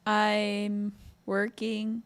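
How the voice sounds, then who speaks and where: A woman's voice: medium pitch, monotone, very slow, clean tone. A Filipino woman in her twenties chats on a casual podcast. She is talkative and teasing, and drifts freely between English and Tagalog. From Philippines. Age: 20s. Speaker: podcast co-host. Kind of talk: casual chat.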